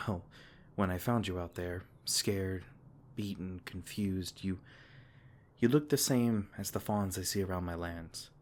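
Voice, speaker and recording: Man playing a fae king, protective, soft-spoken, tender, stammering, lowish voice, faint hiss behind. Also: measured pace. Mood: tender, soothing. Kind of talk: ASMR comfort roleplay.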